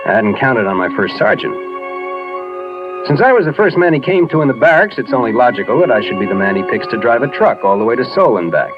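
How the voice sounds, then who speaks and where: An actor playing a weary young American soldier narrating a strange tale in a 1950s radio drama. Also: male voice, medium pitch, very animated, fast talker, close to an everyday voice. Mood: haunted, wry. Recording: dull 1950s radio recording, music behind. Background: music.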